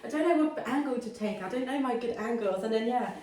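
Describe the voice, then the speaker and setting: Englishwoman co-host, quick chatter, giggly and gushing, distant echoey mic. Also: fairly high pitch, a little mellow. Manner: giggly, gushing, swears casually. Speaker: podcast co-host. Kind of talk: casual chat.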